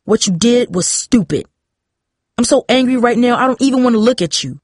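A woman voicing a stern, protective, angry father in an audiobook story, scolding forcefully. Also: fairly low pitch, fast talker, no room sound, studio quality, lightly acted. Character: furious, protective father confronting daughter and boy.

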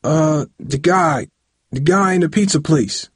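Man voicing a nervous teenage boy, higher pitched, stammering. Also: moderate pace, breathy edge, dry recording, clean studio sound. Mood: nervous lying. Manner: stammering, shaky, pleading. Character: cowed, evasive teenage boy facing his father. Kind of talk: audiobook character voice.

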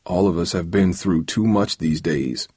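A man voicing a weary, serious middle-aged father in an audiobook, speaking gravely and gently as he gives advice. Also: low-pitched, no room sound, clean studio sound. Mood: weary, earnest. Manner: slow, grave, gentle advising. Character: weary, serious ailing father of a teenage boy.

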